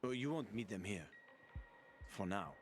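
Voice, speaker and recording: A man's voice: on the low side, expressive, unhurried. An actor voicing a roguish, boastful man with a wry, casual manner that includes swearing, in video game dialogue. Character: roguish older male schemer, boastful. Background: music.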